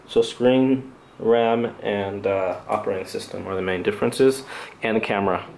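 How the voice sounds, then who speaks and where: A man giving an unscripted product review, speaking in a hesitant, halting, off-the-cuff way as a channel host. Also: lowish voice, vocal fry, darkish tone, slight background noise.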